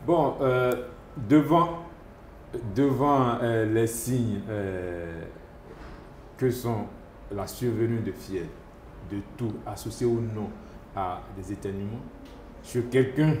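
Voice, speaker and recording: A man speaks in a low, warm voice, explaining things as a doctor in an interview. He pauses often with fillers and restarts his phrases. The sound is echoey, with faint room ambience behind him. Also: slight background noise. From Côte d'Ivoire. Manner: hesitant, many fillers and restarts. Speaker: epidemiologist doctor. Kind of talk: interview answers.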